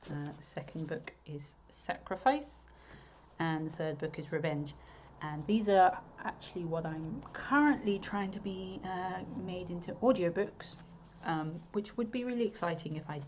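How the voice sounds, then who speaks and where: Low-voiced southern English woman, chatty. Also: darkish tone, slight background noise. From England. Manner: chatty, many ums and restarts. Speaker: romance author. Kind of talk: casual video chat about her books.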